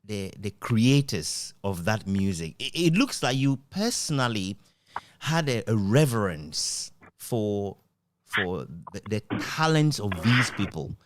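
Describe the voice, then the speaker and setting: A Ghanaian man in a reminiscing conversation, speaking in a reflective, halting way. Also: fairly low pitch, very animated.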